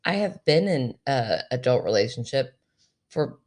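Hoarse, gravelly-voiced woman. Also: very animated, measured pace, a little mellow. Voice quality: low, gravelly. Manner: dry, teasing, laughs often. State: hoarse, gravelly voice from being sick. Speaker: podcast co-host. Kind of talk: casual chat.

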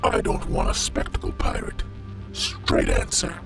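An actor playing a stern, suspicious cyborg guard who talks in curt, interrogating phrases in an audio drama. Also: male voice, very high voice, sing-song, measured pace, full character voice. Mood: stern, demanding. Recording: music behind the voice.

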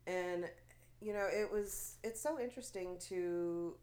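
Low-voiced stuffy woman podcaster. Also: a little slow, a little mellow. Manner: chatty, many fillers and restarts, laughs often. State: stuffy from allergies. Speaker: podcast co-host. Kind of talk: casual podcast chat.

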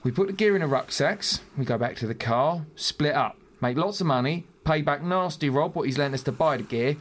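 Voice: mid-range voice, a little fast, close to an everyday voice. An actor playing a naive, nervous smuggler, earnest and rambling, in an audio drama. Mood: businesslike. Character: nerdy, nervous, naive smuggler, keen on birds and shells.

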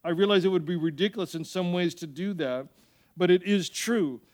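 A man preaching as a pastor in an animated, conversational way, repeating phrases for emphasis and telling humorous personal stories. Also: high voice, a little fast. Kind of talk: sermon with personal anecdotes and acted-out dialogue.

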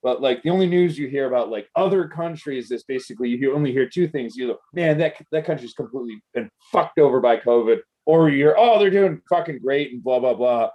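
A man's voice: mid-range voice, a little fast, few pauses, lo-fi sound. A man co-hosting a podcast, interviewing casually in a rambling, slangy, sweary way. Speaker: podcast co-host.

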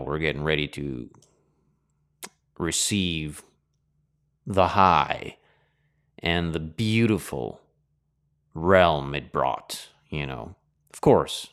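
A man with a very low, smooth and resonant voice talks in a loose, reflective monologue. He reminisces with plenty of ums and ahs. He is middle-aged and hosts his own show. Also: a bit bright. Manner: rambling, nostalgic, with many fillers. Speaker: host.

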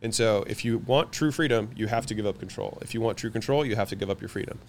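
A man whose first language is French, answering interview questions about running companies, confident and quick, listing his points one after another. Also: fairly low pitch, a little hiss or hum. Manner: fast, confident, lists points in quick runs. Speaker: guest, business owner.

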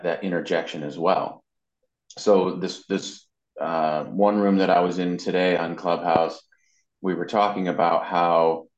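A man speaking conversationally as an interview guest, explaining social media marketing practice. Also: low-pitched. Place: indoors. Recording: muffled, band-limited, roomy echo.

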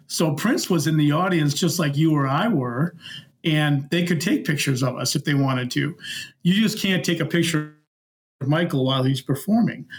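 A middle-aged man, a podcast guest and former security worker, telling casual anecdotes and acting out bits of dialogue. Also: a little high.

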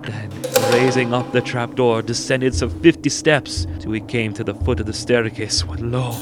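A man's voice: medium pitch, fairly even intonation, normal speed, over music, close to an everyday voice. An actor playing a sorrowful young wanderer, narrating his misfortunes earnestly in formal archaic storybook English. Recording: very noisy recording.

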